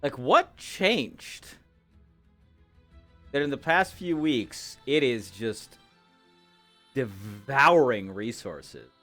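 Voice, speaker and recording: A man in casual conversation with fellow gamers, phrasing things haltingly. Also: medium pitch, lively intonation, a bit bright, faint music behind. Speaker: online roleplay gamer.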